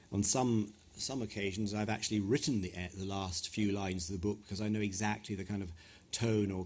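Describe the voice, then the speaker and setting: A middle-aged man from West Africa, an author answering audience questions at a live talk in a thoughtful, hesitant way with many restarts. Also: lowish voice. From West Africa (Ghana, Nigeria). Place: event venue before an audience.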